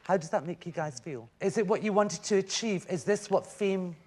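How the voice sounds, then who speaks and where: A man's voice: high-pitched, normal speed, darkish tone. A man co-hosting a live radio interview, eagerly interjecting and asking questions. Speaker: radio co-host. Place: radio theatre with live audience. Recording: live audience noise behind.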